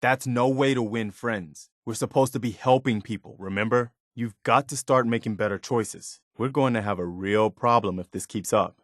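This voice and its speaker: An actor playing an earnest, loyal American militia companion in a video game, speaking in an easygoing, plainspoken way. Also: male voice, medium pitch, normal speed, hardly any pauses, no room sound, clean studio sound, natural voice. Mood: disapproving. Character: earnest, principled militia companion, adult man, loyal and caring.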